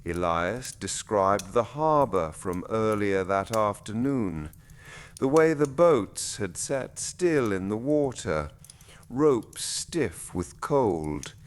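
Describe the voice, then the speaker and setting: A man narrating a bedtime story in a soothing, gentle storyteller's way, with phrases that fall softly at the ends. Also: on the low side, expressive, slow pace.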